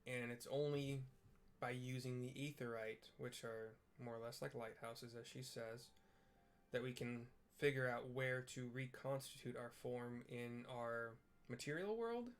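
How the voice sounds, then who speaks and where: A man narrating a video game playthrough in a casual, halting way, musing aloud as he goes. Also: medium pitch.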